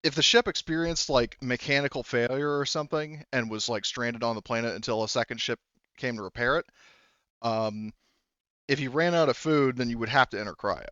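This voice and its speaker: Smooth-voiced man running a tabletop game. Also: medium pitch, pushed and bright, no echo, muffled recording. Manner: halting, thinking aloud, blunt swearing. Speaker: game master. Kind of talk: running a tabletop roleplaying game, casual talk.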